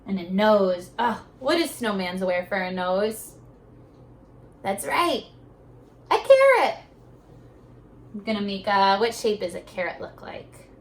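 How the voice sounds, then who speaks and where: Animated teacher lady asking kids questions, slow and drawn out, echoey room, mic far off. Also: mid-range voice. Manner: animated, questioning, sing-song teacher talk. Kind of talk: read-aloud lesson for young children. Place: classroom-style room.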